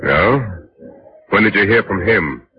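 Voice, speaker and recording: An actor playing a lovelorn, wounded chauffeur in 1940s radio drama, earnest and deferential. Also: male voice, lowish voice, animated, slow pace, slight background noise. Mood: wounded, bitter. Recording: dull vintage radio recording, faint music.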